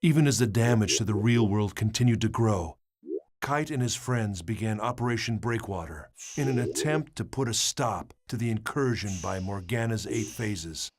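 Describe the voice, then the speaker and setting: A man acting as a brooding researcher who narrates past events in a measured, solemn way for a game drama. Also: lowish voice, expressive, darkish tone. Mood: grave. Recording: music behind the voice.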